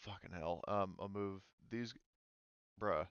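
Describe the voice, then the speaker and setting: A man's voice: deep voice, slow pace, creaky, a bit bright, clear, resonant tone, no echo. A man running a casual online tabletop role-playing game as game master, explaining in hesitant pieces. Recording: dull, slightly muffled audio.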